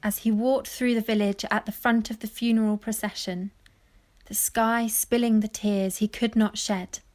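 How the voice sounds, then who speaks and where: A woman playing a wistful granddaughter, giving lyrical, measured narration in an audio play. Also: mid-range voice, a little mellow, lightly acted. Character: wistful granddaughter narrating family memories of fishing village life. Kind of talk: poetic scripted narration.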